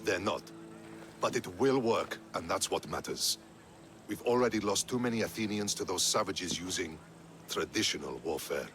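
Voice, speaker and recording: An actor playing a proud, curt, ruthlessly pragmatic Athenian general in a video game cutscene. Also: male voice, low-pitched, lively intonation, normal speed, fairly bright tone, a little hiss or hum. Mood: haughty, determined. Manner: curt, haughty.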